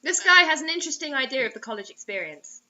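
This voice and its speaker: Very bright, high-voiced sarcastic woman. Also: animated, fast talker, dull audio. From England. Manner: incredulous, wry. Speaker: co-commentator. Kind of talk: sarcastic comic heckling.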